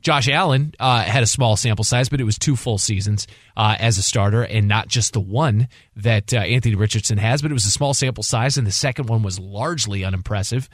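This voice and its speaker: A man's voice: lowish voice, brisk, dry recording, clean studio sound. A man co-hosting a sports radio show, reasoning through his analysis off the cuff and repeating phrases for emphasis. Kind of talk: unscripted sports talk analysis. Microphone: close studio broadcast microphone.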